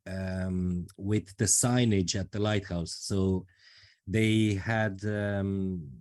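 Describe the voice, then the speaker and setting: A man from Romania with a fairly low voice, speaking English as a second language. He talks at an easy, considered pace, stopping often to search for words with plenty of ums. He comes across as a friendly interview guest explaining his work. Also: darkish tone. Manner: thoughtful, with many filler pauses. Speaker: project manager, guest. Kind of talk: casual interview.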